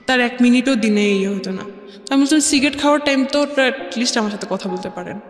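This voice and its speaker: A woman with a Bangladeshi accent tells her own story as an interview guest, haltingly, repeating phrases and mixing in English words. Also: on the high side, a little hiss or hum. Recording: echoey reverb, faint music bed. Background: faint music.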